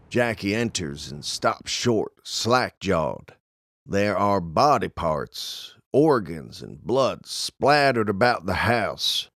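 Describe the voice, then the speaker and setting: A man's voice: low-pitched, fairly bright tone, no echo, clean studio sound. A man reading scripted narration for an audio drama as its narrator.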